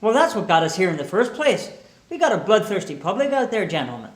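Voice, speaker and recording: An actor playing a gruff, harried police inspector with a blunt, exasperated manner in film drama. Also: male voice, high voice, big pitch swings, fast talker. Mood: worried, wry. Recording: echoey room with faint music.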